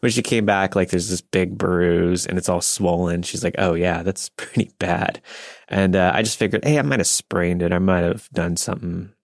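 A man's voice: deep voice, moderate pace, gravelly, no room sound, clean studio sound. A man in his thirties chatting casually as a podcast host. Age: 30s. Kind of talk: casual chat.

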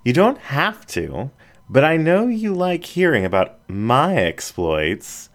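A man's voice: mid-range voice, huge pitch swings, takes it slowly, voice pushed up, full character voice. A man voicing a confident, playful female border collie in an audiobook reading. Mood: frank. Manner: playful, frank. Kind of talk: storybook reading.

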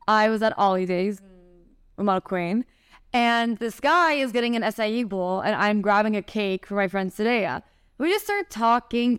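A young woman hosting her own podcast, bubbly and chatty and quick to laugh. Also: medium pitch, clear, resonant tone, no room sound, clean studio sound. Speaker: podcast host. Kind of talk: casual interview chat.

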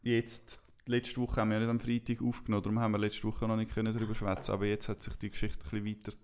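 A man with a Swiss German accent, co-hosting a podcast in calm, measured casual chat. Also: fairly low pitch, slight background noise. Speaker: podcast co-host.

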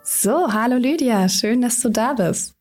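A woman's voice: fairly high pitch, animated, unhurried, loud music behind the voice. Upbeat woman hosting, voice a bit worn. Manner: upbeat, effusive introductions. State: slightly under the weather, voice strained. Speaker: podcast host. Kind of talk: conversational podcast interview.

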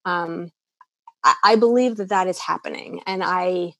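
Earnest low-voiced woman, halting. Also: fairly bright tone, no room sound. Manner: earnest, hesitant with many restarts and fillers. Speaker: eating disorder clinician, guest. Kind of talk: podcast interview answers.

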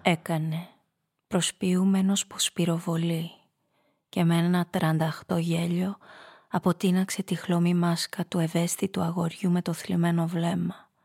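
An actress playing a hard-boiled femme fatale who narrates her downfall in a radio drama, in a measured, confessional way. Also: on the low side, vocal fry, darkish tone. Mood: dark, haunted.

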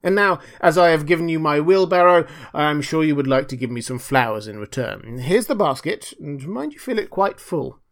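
A man's voice: on the high side, animated, normal speed, hardly any pauses. A man voicing a greedy, self-righteous miller from a storybook, pompous and sanctimonious, drawing out his phrases for emphasis. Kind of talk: storybook character reading.

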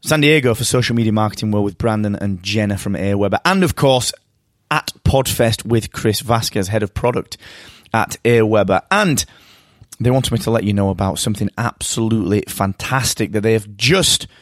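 A British man hosting his own podcast, talking alone from a hotel room in an upbeat, enthusiastic way. His voice is a little croaky from tiredness, and he often repeats words as he talks. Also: fairly low pitch, no echo, clean studio sound. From Britain. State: slightly croaky voice. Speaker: podcast host. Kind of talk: solo podcast monologue.

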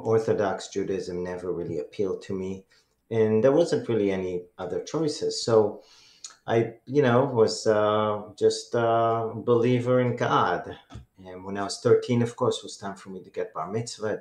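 A middle-aged man from Israel with an Israeli accent and Hebrew as his first language, telling his personal testimony as a radio guest in an earnest, measured way. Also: on the low side, a little mellow, reverberant. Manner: earnest, measured storytelling with many "um"s.

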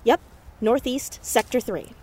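A woman playing a determined, defiant teenage girl in a naturalistic audio drama, brisk and dryly sarcastic. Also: a little high, darkish tone, a little hiss or hum, close to an everyday voice.

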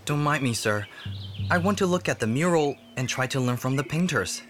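A male actor plays a young court painter in a radio drama, humble and passionate. His mid-pitched voice is deferential and earnest, with music behind it. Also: animated, normal speed, fairly bright tone, natural voice. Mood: humble, eager.

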